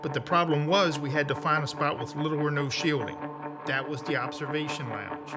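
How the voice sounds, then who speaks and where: An actor playing a gruff, defensive military sergeant in an audio drama, recounting things in a brusque, matter-of-fact way. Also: male voice, a little high, steady tone, a little fast, loud music behind the voice, close to an everyday voice. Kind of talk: audio drama narration in character.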